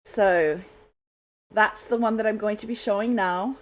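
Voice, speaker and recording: A woman hosting a radio show with casual, unscripted chat, halting and apologetic as she goes. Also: medium pitch, rough recording.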